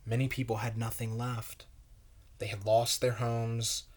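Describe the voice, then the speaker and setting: A man reads a children's story aloud as a pastor would to young listeners. His pace is very slow and measured, and his voice sits at an average male pitch. The delivery is expressive, shaped for children.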